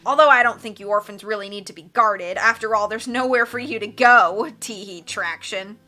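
A bossy villainous count from a storybook , voiced by a woman reader. He is pompous and mocking, pushing his words out loudly in a very sharp, bright tone. Music accompanies the voice. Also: medium pitch, moderate pace, close to an everyday voice. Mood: gloating. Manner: pompous, loud, sneering.

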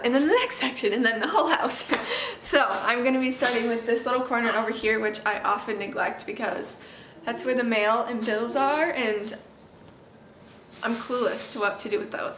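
Woman in her twenties, higher-pitched voice, casual rambling chat, self-deprecating, roomy kitchen echo. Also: normal speed, fairly bright tone, a little hiss or hum. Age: 20s. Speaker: homemaking vlogger and mother. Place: home kitchen, indoors. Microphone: camera microphone, about arm's length or further. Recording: echoey open kitchen room.

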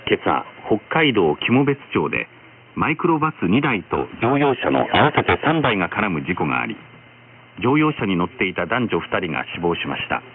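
A man reading scripted news as a radio announcer over shortwave, in a formal, measured manner. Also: low-pitched, big pitch swings. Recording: noisy, narrow-band shortwave radio reception. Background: radio static and noise.

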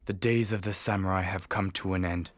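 A man playing an earnest, brooding young samurai in a video game, speaking gravely and introspectively. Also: low-pitched, steady tone, fairly quick, no echo, close to an everyday voice. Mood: solemn, reflective. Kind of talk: solemn video game voice acting. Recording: game cutscene with clear music behind.

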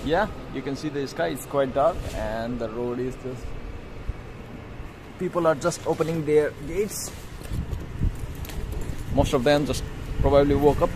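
A man's voice: medium pitch, a little slow, pauses often. A man in his thirties from Nepal, chatting upbeat and directly to viewers in a casual vlog filmed on a phone held at arm's length. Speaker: vlogger. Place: streets, stairwell and gym at dawn, then a bedroom. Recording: phone audio with clear background noise.

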